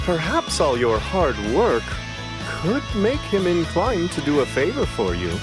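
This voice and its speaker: Refined angel attendant, unhurried, precise, highish voice, serene, anime game, faint music. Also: male voice, wildly swooping pitch. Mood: gentle suggestion. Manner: polite, measured, precise. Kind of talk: English anime game dub acting.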